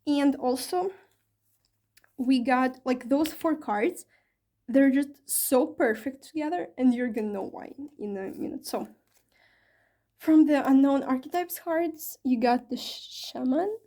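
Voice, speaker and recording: A woman reads tarot for her viewers in a loose, chatty way, often pausing on fillers and correcting herself. Her voice sits a bit high yet sounds soft and mellow, with a slight strain from talking a long while. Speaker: tarot reader. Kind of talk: casual tarot reading to camera.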